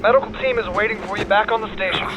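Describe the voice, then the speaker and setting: An actor playing an earnest young lieutenant in a sci-fi audio drama, clipped and commanding. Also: male voice, sits high, racing, background noise, close to an everyday voice. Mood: dutiful. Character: earnest, dutiful young male military lieutenant commanding troops. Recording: loud music behind the voice.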